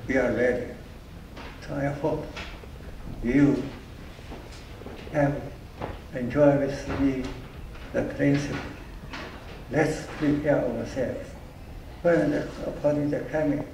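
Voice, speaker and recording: An older man with a heavy Chinese accent, speaking in halting, broken English as an award honoree, repeating words as he goes. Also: medium pitch. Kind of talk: speech with audience Q&A. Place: event hall. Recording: echoey room from far off, faint noise.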